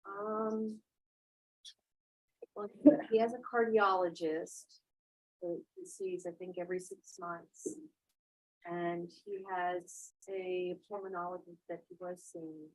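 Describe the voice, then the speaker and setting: A middle-aged American woman giving halting, hesitant testimony as a witness in a courtroom. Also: lowish voice, steady tone, low-quality recording. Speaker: witness, defendant's wife.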